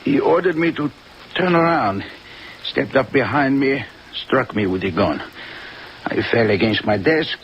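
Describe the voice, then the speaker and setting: A male actor plays a polite, injured Frenchman in an old-time radio mystery. He speaks halting, formal English with a French accent. The recording is dull and hissy, with rain over it. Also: medium pitch, expressive, close to an everyday voice. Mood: pained. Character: injured Frenchman, polite, shaken office man.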